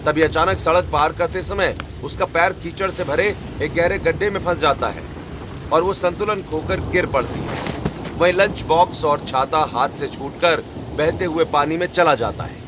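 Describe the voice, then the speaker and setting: A man narrating a cartoon story in a steady, descriptive manner. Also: fairly high pitch, normal speed, background noise.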